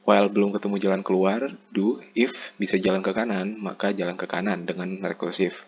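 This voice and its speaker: Low-voiced young man, muffled recording. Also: fairly even intonation, a little fast. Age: young adult. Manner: informal, repetitive step-by-step explaining. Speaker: student presenter. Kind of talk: explanatory slide presentation. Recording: muffled lo-fi mic with background noise.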